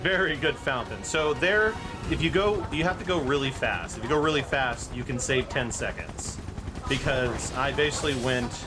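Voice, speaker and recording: A man's voice: medium pitch, expressive, moderate pace. A man giving casual, playful live gameplay commentary as a speedrunner, with game music behind him. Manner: playful, chatty, theatrical asides. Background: game music and sound effects.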